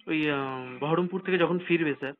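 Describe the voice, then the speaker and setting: A man's voice: a little high, moderate pace. A man with a West Bengal accent, speaking as a sales engineer in a hesitant, deferential business negotiation over the phone. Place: recorded phone call. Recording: tinny, narrowband phone call.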